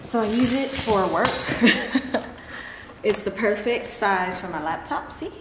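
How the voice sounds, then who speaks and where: A woman's voice: medium pitch, expressive, slow pace. Chatty woman vlogging in echoey room. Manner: chatty, laughs often, talks to her dogs. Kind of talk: casual vlog chat to camera. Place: large bright living room at home. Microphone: camera on a table close by. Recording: echoey room with clear background noise.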